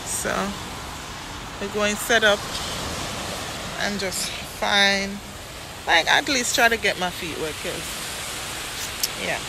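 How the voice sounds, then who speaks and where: A young woman filming herself on a phone at arm's length, talking in an easy, upbeat way to her viewers. She speaks slowly, and loud outdoor noise rushes behind her voice. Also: mid-range voice. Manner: chatty, upbeat, talking to camera. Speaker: vlogger. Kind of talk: casual travel vlog. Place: outdoors by a roadside and beach. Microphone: phone held at arm's length.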